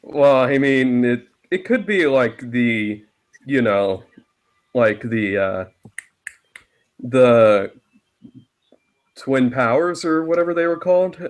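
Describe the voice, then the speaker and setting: A man's voice: mid-range voice, takes it slowly, pauses often. A man chatting casually as a guest commentator, with a loose, joking way of talking. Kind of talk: casual chat.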